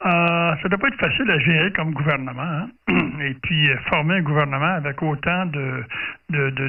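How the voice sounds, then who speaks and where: A man chatting casually as an amateur radio operator over the air, haltingly, repeating words as he goes. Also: fairly high pitch. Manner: halting, repeats words, clears throat often. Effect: radio transmission. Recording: distant, thin narrow-band radio audio.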